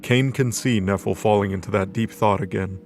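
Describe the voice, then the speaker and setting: A man's voice: lowish voice, moderate pace, darkish tone, slight background noise. A man reading scripted narration for a light novel audio drama. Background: background music.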